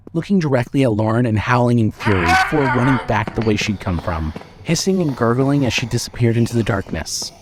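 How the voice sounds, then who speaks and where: A man's voice: mid-range voice, a little hiss or hum. A man reading scripted horror fiction as the narrator of an audio drama.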